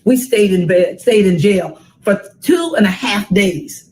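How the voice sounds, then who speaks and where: An American woman in her sixties reads a children's story aloud with dramatic, deliberate phrasing and emphatic repetition. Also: mid-range voice, animated, darkish tone, a little hiss or hum. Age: 60s.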